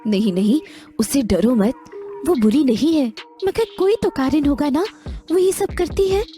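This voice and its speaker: Gossipy village housewife for a cartoon tale, voiced by a woman: high, plaintive, easily alarmed, music underneath. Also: expressive, a little slow, mellow. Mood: anxious gossip. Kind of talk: Hindi animated moral-story dialogue.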